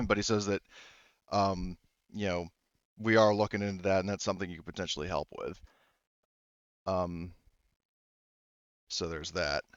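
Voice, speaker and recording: Hesitant game master, a clear-voiced man. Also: deep voice, fairly even intonation, a bit bright, no room sound, dull audio. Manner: halting, rambling, self-correcting delivery. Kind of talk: tabletop game narration, improvised and conversational.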